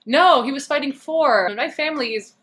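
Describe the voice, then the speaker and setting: A woman of thirty, an investigative reporter as podcast guest, talking casually with wry, dark humor. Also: sits high, normal speed. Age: 30s. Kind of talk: casual interview chat.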